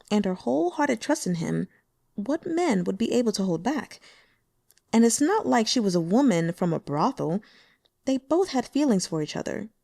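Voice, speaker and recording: Audiobook reader: woman, mid-pitched, expressive with sarcastic asides, dry close mic. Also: creaky, no room sound. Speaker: audiobook narrator. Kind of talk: reading a fan-translated web novel.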